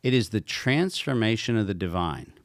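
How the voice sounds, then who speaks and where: A man's voice: lowish voice, expressive, normal speed, no echo, clean studio sound. A man speaking as a guest expert in a podcast conversation, talking emphatically and posing rhetorical questions.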